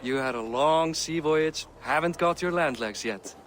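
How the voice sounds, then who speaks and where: A man's voice: on the high side, a little slow, fairly bright tone. An actor playing a proud, hearty middle-aged Viking king in a video game cutscene. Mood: warm, boastful. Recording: noisy outdoor harbour and town ambience.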